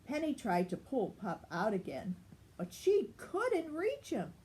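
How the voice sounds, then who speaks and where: An older woman reads a children's story expressively. Her high voice moves up and down a lot at a slow pace, with faint noise behind her. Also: huge pitch swings, a little mellow, poor audio. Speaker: grandmother reading a children's story.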